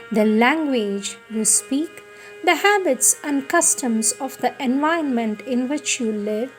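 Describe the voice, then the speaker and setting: A woman giving a devotional scripted reading of spiritual writing in a deliberate, solemn manner, repeating phrases for emphasis. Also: fairly high pitch, darkish tone, rough recording. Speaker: reader of spiritual texts. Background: music.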